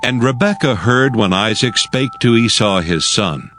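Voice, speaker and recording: A man's voice: mid-range voice, lively intonation, a little slow, a bit bright, soft background music. A man giving solemn, scripted narration of scripture as the narrator of an audio drama.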